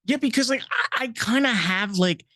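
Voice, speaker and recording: A woman with a New York accent co-hosting a podcast, animated and playfully defensive, stumbling over quick restarts. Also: a little high, no echo, clean studio sound. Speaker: podcast co-host. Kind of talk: casual chat.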